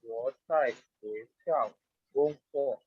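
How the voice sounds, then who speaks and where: A man student on an online class reading practice sentences aloud slowly and carefully. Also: medium pitch, breathy edge, no room sound. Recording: muffled call audio with faint noise.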